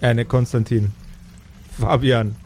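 A man narrating an improvised tabletop game as game master, with deliberate, dramatic phrasing. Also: medium pitch, fairly even intonation, a little mellow, soft background music.

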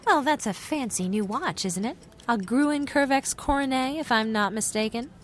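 A woman's voice: fairly high pitch, moderate pace, slight background noise, natural voice. A woman playing a clever, confident young girl detective in an old-time radio mystery.

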